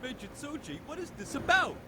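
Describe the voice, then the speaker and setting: An actor playing a naive, curious young soldier in an early video game. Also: male voice, very high voice, sing-song, a little fast. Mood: puzzled, curious. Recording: game dialogue under heavy train noise.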